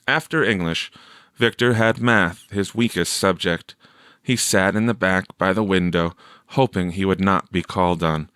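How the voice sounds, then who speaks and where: Low-voiced, unhurried male story narrator. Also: a bit bright, smooth, no echo, clean studio sound. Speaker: audiobook reader.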